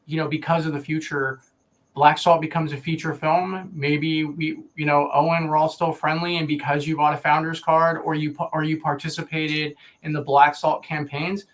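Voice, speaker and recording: Male co-host, slightly high pitched, enthusiastic, casual promotional conversation. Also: flat delivery, normal speed, low-quality recording.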